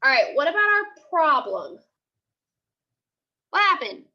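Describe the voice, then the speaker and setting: A woman teaching a class, asking questions and repeating questions and answers slowly for her pupils. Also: high voice, very animated, lo-fi sound. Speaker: teacher leading a class read-aloud.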